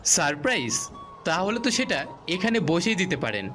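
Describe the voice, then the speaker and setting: Man acting a lively, high-voiced teasing young husband. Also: normal speed, full character voice. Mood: defensive. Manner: teasing, argumentative. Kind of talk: audio love-story acting. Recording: music bed behind the voice.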